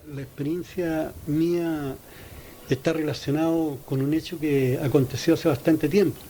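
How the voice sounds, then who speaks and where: A man's voice: fairly high pitch, dark tone, slight background noise, rough recording. A Chilean man giving an informal, colloquial personal testimony in an interview. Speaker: interviewed witness.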